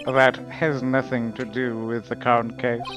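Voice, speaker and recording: A man playing a smug, composed young prosecutor, pompous and measured, in a live dub of a courtroom game. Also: medium pitch, flat delivery, music in the background, natural voice.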